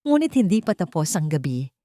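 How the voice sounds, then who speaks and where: A woman's voice: on the low side, big pitch swings, a little fast, warm tone, no room sound, clean studio sound. Haughty, venomous Filipino matriarch, acted by a woman. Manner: haughty, threatening. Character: proud, venomous society matriarch villainess.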